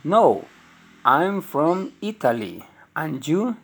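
A man's voice: fairly high pitch, sing-song, takes it slowly, gravelly, fairly bright tone, husky, heavily acted. A man reading as a teenage boy student. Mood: friendly. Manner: plain textbook dialogue reading.

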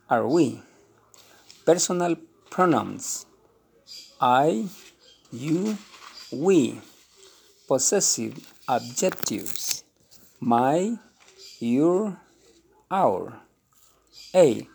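A man reading an English textbook aloud slowly and deliberately, speaking every heading and example. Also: medium pitch, sing-song, vocal fry, a little mellow, husky. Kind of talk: slow textbook read-aloud.

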